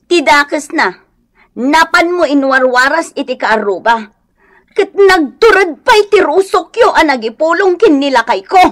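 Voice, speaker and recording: An actress playing a wronged, indignant village wife in a Filipino radio drama, accusing and emotional. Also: female voice, high-pitched, animated, a little slow.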